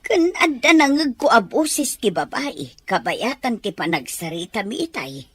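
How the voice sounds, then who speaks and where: A woman plays a caring older grandmother in an audio drama. Her voice is husky and fairly high, with lively swings of worry, recorded dry and close. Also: a little slow, dry recording, exaggerated voice. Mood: concerned. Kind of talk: Ilocano audio drama acting.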